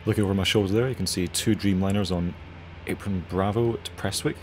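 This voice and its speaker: Low-voiced young man. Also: fairly even intonation, fairly quick, a little hiss or hum. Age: young adult. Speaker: pilot narrating his flight. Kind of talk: casual voice-over commentary.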